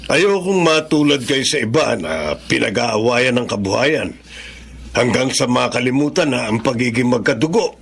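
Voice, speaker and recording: A man playing an aging, principled and loving father in a Filipino radio drama, with a gravelly voice. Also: mid-range voice, lively intonation, moderate pace, a bit bright, exaggerated voice. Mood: earnest.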